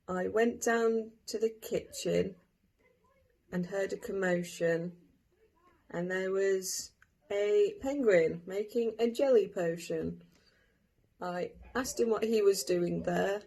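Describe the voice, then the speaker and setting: Relaxed, creaky-voiced woman telling a story. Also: medium pitch. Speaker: participant in a story-retelling game. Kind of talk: retelling a children's-style rhyming story from memory.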